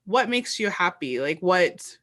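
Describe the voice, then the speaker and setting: A woman's voice: on the low side, sing-song, a little slow, fairly bright tone. A woman interviewer in casual chat, earnest and supportive, rambling through her thoughts.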